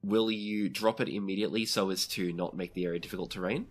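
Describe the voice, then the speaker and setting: A man with a low, husky voice acts as game master for a tabletop game. He describes the action as he goes and checks rules with the players. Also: fairly even intonation, fast. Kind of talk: improvised tabletop game narration.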